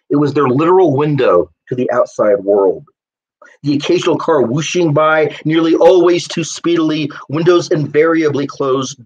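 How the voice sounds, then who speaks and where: Higher-pitched man reading his fiction aloud. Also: rough recording. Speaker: author reading own story. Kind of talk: live reading of literary fiction with dialogue.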